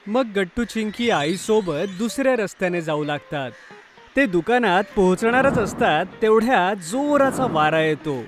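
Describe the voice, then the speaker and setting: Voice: mid-range voice, animated, normal speed, background noise. A narrator reading a scripted children's story.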